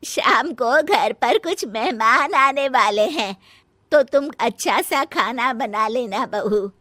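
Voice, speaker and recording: A woman voicing a mother-in-law in a cartoon family drama, a matriarch instructing her daughters-in-law in a drawn-out manner. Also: fairly high pitch, expressive, sharp tone, dry recording, clean studio sound, heavily acted.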